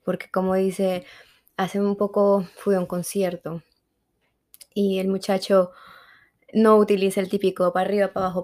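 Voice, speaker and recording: A woman from Mexico with a Mexican accent, a guest in a conversational interview, telling her story in a reflective and animated way. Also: mid-range voice. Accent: Mexican Spanish.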